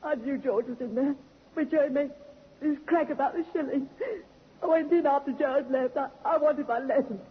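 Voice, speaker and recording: A woman's voice: high-pitched, moderate pace, muffled recording, lightly acted. An actress playing a desperate young woman in a vintage radio drama, speaking in a pleading rush. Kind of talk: 1950s radio drama acting.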